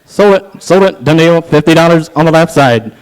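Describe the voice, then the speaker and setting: A man's voice: on the high side, normal speed, clean tone, poor audio. A man calling bids as an auctioneer in a repetitive chant of numbers. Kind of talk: live auction bid calling.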